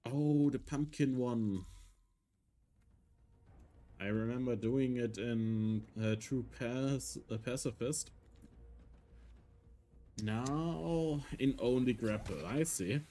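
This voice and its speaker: A man with a German accent, a German native speaker, casually talking through a game on stream, thinking aloud with hesitant, halting phrasing. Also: mid-range voice, warm tone. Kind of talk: casual gameplay commentary.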